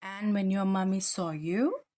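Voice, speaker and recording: A woman voicing a loving father bear, gentle and reassuring, in a children's storybook read-aloud. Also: medium pitch, animated, a little slow, natural voice. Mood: tender.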